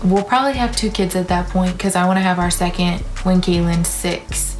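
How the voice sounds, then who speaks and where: Chatty young woman, low voice. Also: normal speed, music in the background. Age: 20s. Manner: candid, teasing, says "like" a lot. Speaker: vlogger, young mother. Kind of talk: casual couple Q&A chat.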